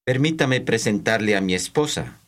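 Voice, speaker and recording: A man reading scripted language-course dialogue, enunciating slowly and carefully for learners. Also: lowish voice, lively intonation, a bit bright.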